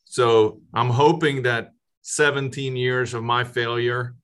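A man hosting a casual podcast interview, speaking haltingly with frequent restarts and fillers. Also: medium pitch, steady tone, a bit bright, smooth. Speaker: podcast host.

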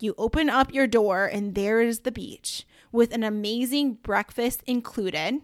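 A woman's voice: a little high, a bit bright, no echo, clean studio sound. A woman hosting her podcast alone, chatting casually with lots of asides and slang. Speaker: podcast host. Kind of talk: casual solo podcast talk.

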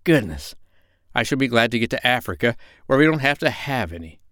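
A man's voice: medium pitch, big pitch swings, a little fast, a bit bright, dry recording, studio quality. A man doing a kindly dithering old doctor. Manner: mild, fussy, dithering. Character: kindly absent-minded male animal doctor.

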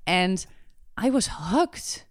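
A woman hosting a podcast, chatting casually and telling animated stories with quoted asides. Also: medium pitch, drawn-out words, no echo, clean studio sound. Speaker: podcast host. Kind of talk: casual chat.

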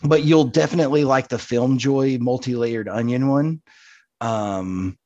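A man with a medium-pitched voice in casual podcast conversation. He is insistent and tends to repeat himself, and the sound is close and very dry. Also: measured pace, mellow, dry recording, lo-fi sound. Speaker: podcast co-host. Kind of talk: casual chat.

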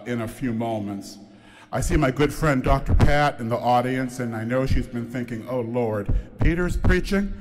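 A man serving as head of school gives an informal sermon to a church congregation, chatty and joking with people by name. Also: medium pitch. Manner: warm, chatty, jokes with the audience by name. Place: large church sanctuary. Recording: long reverb of a church hall.

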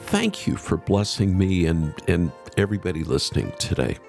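Lively, creaky-voiced male podcast host. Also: sits low, normal speed, faint music behind. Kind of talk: conversational interviewing and show sign-off.

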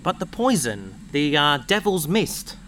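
A man's voice: a little high, sing-song, measured pace, fairly bright tone, a little hiss or hum. A man playing an awkward, eager space adventurer sidekick in a sci-fi audio drama, earnest, bumbling and comically over-polite. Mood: awkward, apologetic.

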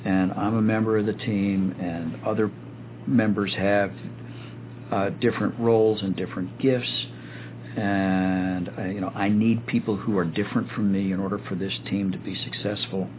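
A man hosting a podcast, reflective in casual chat and quick to laugh. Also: lowish voice, fairly even intonation. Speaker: podcast host. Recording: lo-fi phone-like sound with faint hiss.

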